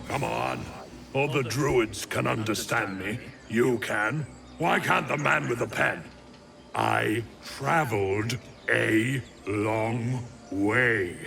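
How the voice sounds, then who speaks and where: An actor voicing a slow, earnest, plaintive talking bear with a gravelly voice in a video game. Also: male voice, medium pitch. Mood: pleading. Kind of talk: video game creature acting.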